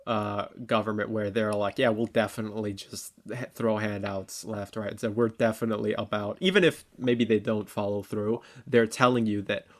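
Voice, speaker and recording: A man's voice: on the low side, fairly even intonation, moderate pace, hardly any pauses. A man co-hosting a talk show, discussing issues casually in colloquial language and voicing imagined quotes.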